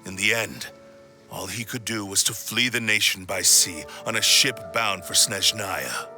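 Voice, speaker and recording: Music swells behind an ancient cursed blade's spirit. The low voice moves slowly and formally, proud, brooding and bitter, as a menacing video game villain. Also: male voice, bright, forceful tone. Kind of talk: video game cutscene acting.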